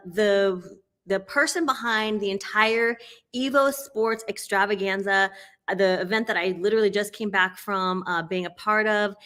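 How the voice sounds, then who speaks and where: Bright-voiced woman hosting a chat. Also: medium pitch. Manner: upbeat, laughs often, fillers. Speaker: host. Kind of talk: casual interview chat.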